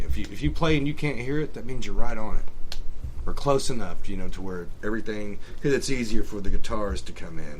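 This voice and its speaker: A man, a drummer being interviewed, talking in a laid-back, halting way. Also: low-pitched, background noise. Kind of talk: casual interview chat.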